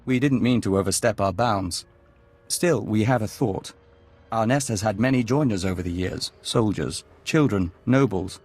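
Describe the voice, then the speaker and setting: Low-voiced, serene hive envoy. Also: male voice, darkish tone. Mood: calm, reverent. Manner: measured, formal, speaks of himself as we. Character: serene, courteous male envoy of an alien insect hive. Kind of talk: video game voice acting.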